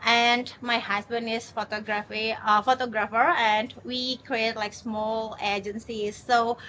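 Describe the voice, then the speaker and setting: A woman from Indonesia speaks quickly in simple phrases. Her voice is high and bright, with a sharp edge. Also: little pitch movement, a little hiss or hum, rough recording. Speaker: interviewee, digital marketer.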